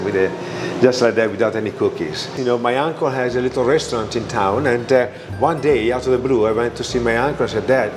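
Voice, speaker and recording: Italian-accented restaurant owner, halting answers, music underneath. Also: male voice, medium pitch. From Italy. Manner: halting, many fillers and restarts. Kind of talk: interview answers.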